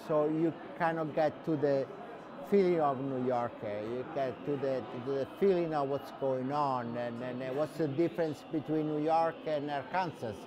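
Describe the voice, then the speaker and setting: A man from Italy with an Italian accent, a bartender speaking haltingly in an interview and searching for words. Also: a little high. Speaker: bartender and wine bar owner. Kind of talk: casual interview.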